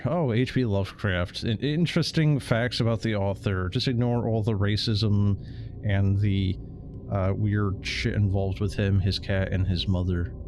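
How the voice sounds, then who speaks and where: A man's voice: on the low side, darkish tone, a little hiss or hum. A man doing casual game stream commentary in a rambling, sardonic, sweary way. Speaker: livestreamer.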